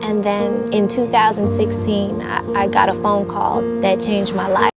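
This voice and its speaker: A woman's voice: mid-range voice, completely flat delivery, measured pace, gravelly, music in the background. A woman from Jamaica, raised elsewhere, answering a talent show judge's questions onstage. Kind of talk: onstage interview.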